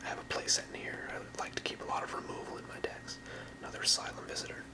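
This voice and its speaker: A person whispering in ASMR style, explaining things softly and without hurry as a creator presenting to viewers. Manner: soft, unhurried explaining in whispers. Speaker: ASMR creator presenting a trading card deck.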